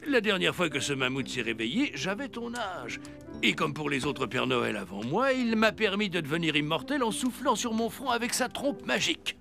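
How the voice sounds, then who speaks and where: A man's voice: sits high, big pitch swings, creaky, over music, exaggerated voice. A man playing an anxious, kindly old Father Christmas in a French cartoon, with hesitant, grandfatherly delivery. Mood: solemn, explaining. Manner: hesitant, humming pauses, grandfatherly.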